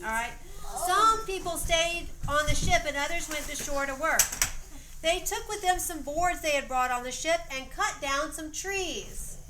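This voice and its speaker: High-voiced Southern woman narrating in an echoey room. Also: lively intonation, a little slow. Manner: breaks off to coach and prompt young performers. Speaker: narrator directing a children's dramatization. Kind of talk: reading a story aloud with off-script stage directions. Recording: echoey room, noisy amateur recording.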